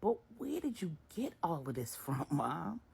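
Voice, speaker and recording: Woman playing a troubled, husky adult son. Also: sits low, big pitch swings, unhurried, darkish tone, pitched above the performer's own voice, heavily acted. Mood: incredulous. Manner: plaintive, protesting. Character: troubled, exasperated adult son searching for his wife.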